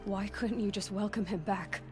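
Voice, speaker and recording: A woman's voice: mid-range voice, fairly even intonation, normal speed, over music, close to an everyday voice. A woman voicing a young female hunter in a video game, asking direct, pointed questions. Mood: questioning.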